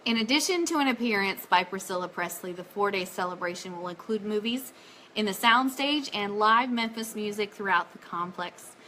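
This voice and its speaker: A woman's voice: mid-range voice, lively intonation, normal speed, sharp tone, slight background noise, rough recording. A woman hosting a fan radio show live, chatty and enthusiastic, moving between casual talk and reading out news items.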